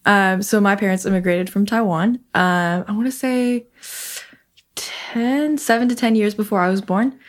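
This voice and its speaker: A woman's voice: medium pitch, steady tone, normal speed. A young woman from the Seattle area, talking reflectively as an interview guest, with frequent ums. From Seattle area, United States. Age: young adult. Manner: reflective, lots of ums and soft laughs. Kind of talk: casual conversation.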